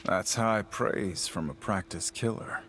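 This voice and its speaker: An actor playing a calm, brooding swordsman in a game, restrained and thoughtful in delivery. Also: on the low side, measured pace, slight background noise. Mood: calm, sympathetic. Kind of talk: cinematic game acting.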